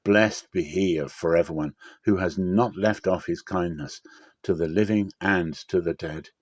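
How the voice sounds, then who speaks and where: A man's voice: low voice, a little slow, no room sound, clean studio sound. A man voicing a caring older mother-in-law who guides in an earnest, motherly way, in a dramatic scripture reading. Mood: eager, thankful.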